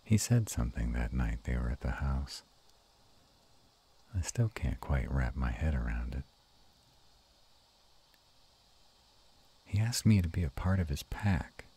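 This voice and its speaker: A man playing a gruff but tender were-dragon lover in an intimate romantic audio roleplay, murmuring slowly and haltingly in a gravelly, husky voice. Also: rumbling low, very animated, mellow, full character voice. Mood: moved, hesitant.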